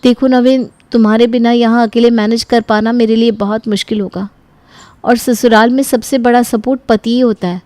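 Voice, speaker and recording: Woman acting an aggrieved young wife, higher-pitched, close-up. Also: steady tone, normal speed, warm tone, no room sound, lightly acted. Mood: pleading, reasoning. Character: young married daughter from a wealthy home, aggrieved and entitled. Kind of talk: animated Hindi moral story acting.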